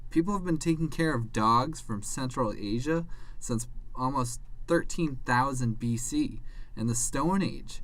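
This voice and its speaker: A man voicing an earnest, slightly naive and devoted pet dog in an animated conversation. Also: mid-range voice, lightly acted, low-quality recording. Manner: earnest, puzzled, eager.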